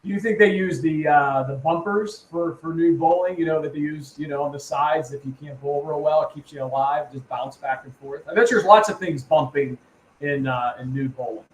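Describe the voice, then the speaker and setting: A man's voice: fairly high pitch, normal speed. A man hosting a casual talk show who rambles, repeats his words and keeps checking in with "right?" and "man". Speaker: host. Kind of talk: casual chat. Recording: echoey room with faint ambience.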